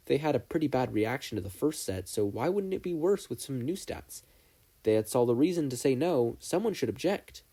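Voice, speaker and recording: A man's voice: medium pitch, dark tone. A man narrating a story as a reader in a scripted audiobook style. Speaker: podfic narrator.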